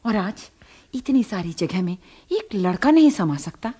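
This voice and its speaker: A woman's voice: medium pitch, very animated, a little slow, dark tone, slightly rough. A woman acting a caring, principled middle-class wife and mother in a radio drama, earnest and argumentative. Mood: persuading, indignant.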